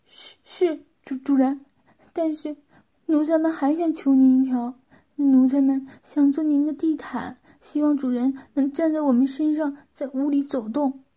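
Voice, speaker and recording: A woman's voice: high-pitched, normal speed, mellow, pitched above the performer's own voice. A woman voicing a timid, submissive servant who pleads and stammers in an audiobook story. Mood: meek, grateful. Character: grovelling, timid servant begging the master.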